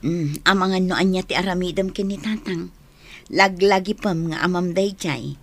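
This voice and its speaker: A woman's voice: lowish voice, moderate pace. An actress playing an embarrassed, disapproving woman who chides an old man in a radio comedy drama.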